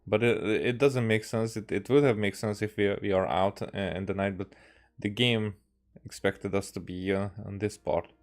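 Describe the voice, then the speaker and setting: A man from Hungary with a Hungarian accent, giving casual gameplay commentary as a streamer, musing aloud in a halting way. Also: lowish voice, fairly even intonation. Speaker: game streamer.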